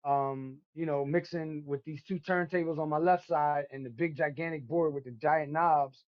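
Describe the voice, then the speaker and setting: A man's voice: fairly high pitch, moderate pace, muffled recording. A man, telling stories in street slang as a podcast guest. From Brooklyn, New York. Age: 50s. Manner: animated storyteller, street slang. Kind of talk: casual podcast chat.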